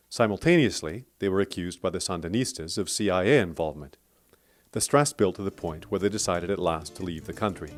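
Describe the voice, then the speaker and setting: A man narrating a scripted radio documentary in a steady, clear storytelling manner. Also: lowish voice.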